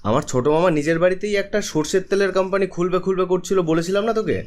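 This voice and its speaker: A man's voice: high-pitched, racing, natural voice. A man voicing a breezy, teasing young best friend in a cartoon, with a West Bengal Bengali accent. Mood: enthusiastic. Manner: breezy, colloquial. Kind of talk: animated cartoon dialogue.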